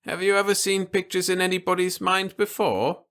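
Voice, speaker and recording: Man playing a kindly old grandpa, bright-voiced. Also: high-pitched, steady tone, moderate pace, smooth, pitched above the performer's own voice. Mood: gentle, reassuring. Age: older. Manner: reassuring, explaining simply to a child.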